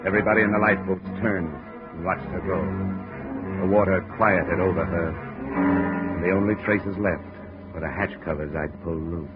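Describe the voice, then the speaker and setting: An American actor playing a tough, wisecracking insurance investigator in a 1950s radio drama, clipped and world-weary. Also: male voice, deep voice, fairly even intonation, normal speed, loud music behind the voice, lightly acted. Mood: tense, grim narrating. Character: hard-boiled, wisecracking male insurance investigator. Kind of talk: 1950s radio detective drama acting and narration. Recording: noisy, muffled 1950s radio recording.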